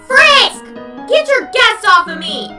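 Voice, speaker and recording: A woman's voice: very high-pitched, big pitch swings, slow, pushed and bright, faint music behind, pitched above the performer's own voice, heavily acted. A woman voicing a bratty, boastful flower with the soul of a young boy, childish and theatrical, in a comic dub. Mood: furious.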